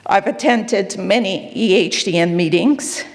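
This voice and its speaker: A woman with a Canadian accent speaks earnestly and candidly to a conference audience, pausing on fillers and slipping in small asides. Her voice sits at a middling pitch and carries a long hall reverb. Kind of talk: personal conference talk.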